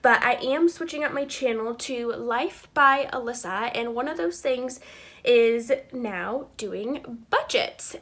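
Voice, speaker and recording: A woman's voice: high-pitched, a bit bright. A woman in her twenties, stuffy from a cold, hosting a chatty, upbeat budgeting walkthrough for her viewers. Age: 20s. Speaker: channel host.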